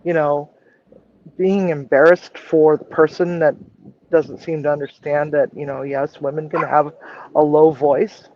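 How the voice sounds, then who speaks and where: A woman with a low voice, chatting frankly and casually as a regular on a livestream. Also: little pitch movement, measured pace, muffled recording, slight background noise. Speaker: chef and regular co-host.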